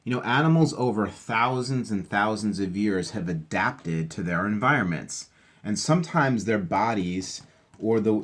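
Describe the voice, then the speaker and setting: A man with a slightly husky voice reading aloud to children and explaining things simply in a chatty, encouraging teacher's manner. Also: on the low side. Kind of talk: animated read-aloud with casual explanations.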